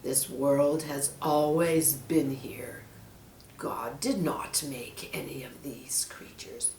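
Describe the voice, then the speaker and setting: A woman voicing a sly, menacing male snake in a storybook, falsely caring, with a hushed, breathy hiss and drawn-out delivery. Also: low voice, fairly even intonation, reverberant, distant mic. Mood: dismissive. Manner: slow, drawn-out, sinister delivery.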